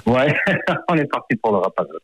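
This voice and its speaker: A man's voice: medium pitch, very animated, moderate pace, fairly bright tone, husky, no room sound, low-quality recording. A man in his fifties, an author born in France, talking in a radio interview and hesitating often, with many fillers and repeated words. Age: 50s.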